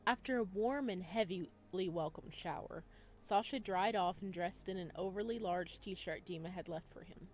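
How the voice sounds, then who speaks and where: A woman hosting a visual novel let's play, playful and expressive as she chats and reads aloud. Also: lowish voice, moderate pace. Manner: playful, expressive reactions, addresses viewers. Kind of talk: visual novel playthrough, chatty commentary and read-aloud narration.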